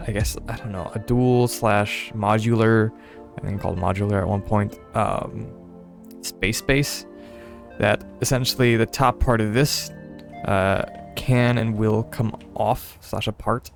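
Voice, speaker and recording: Gravelly, rambling young male streamer. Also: on the low side, steady tone, unhurried, soft background music. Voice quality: slightly stuffy. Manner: rambling, hesitant, frequent laughs and fillers. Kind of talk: casual gameplay commentary.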